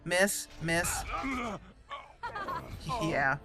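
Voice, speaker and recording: A woman's voice: fairly low pitch, lively intonation, extremely slow, music in the background. A woman giving casual, chatty commentary as she plays and roleplays a game, talking to the characters. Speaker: host of a roleplay game playthrough.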